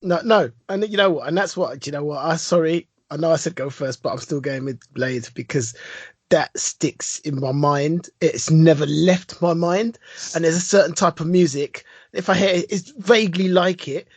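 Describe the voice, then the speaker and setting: British male co-host, husky, high and animated, dry close recording. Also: moderate pace, no echo. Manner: excitable, laughs a lot, swings up and down in pitch. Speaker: podcast co-host. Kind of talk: casual chat.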